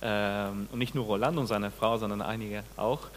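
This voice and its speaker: A man in his forties from Peru, a pastor giving a sermon, speaking haltingly with many restarts and questions to the congregation. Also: on the low side, fairly even intonation, in a large hall, slight background noise. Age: 40s. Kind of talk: sermon with personal testimony. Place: church.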